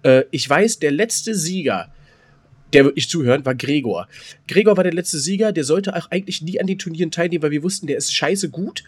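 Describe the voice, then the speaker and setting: A man with a husky voice, chatting casually and excitably as a podcast co-host, often repeating himself. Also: a little high, a little fast.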